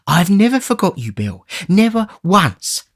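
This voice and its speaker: Man doing a wheedling old fence, high whine, gravelly edge, storybook reading. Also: very animated, unhurried, full character voice. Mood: pleading. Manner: whining, pleading, high and sing-song. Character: scheming old male fence, wheedling and fawning. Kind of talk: audiobook character reading.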